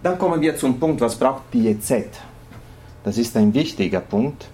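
Halting Italian-accented man giving a lecture. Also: medium pitch, expressive, dark tone. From Italy. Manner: halting, searching for words in non-native phrasing. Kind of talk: informal lecture presentation.

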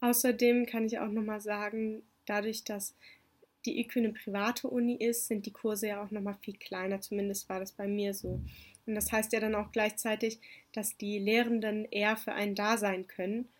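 A young woman whose first language is Turkish, calmly giving orderly interview answers about her semesters abroad. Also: mid-range voice, moderate pace. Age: young adult. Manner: calm, orderly, explanatory. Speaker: exchange student interviewee.

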